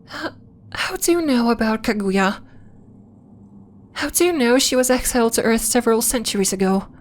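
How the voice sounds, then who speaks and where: A woman playing a gentle, earnest alien temple healer in an ASMR roleplay, speaking in a measured, formal, reassuring way. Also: medium pitch, steady tone. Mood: startled. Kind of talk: slow, soft ASMR roleplay acting.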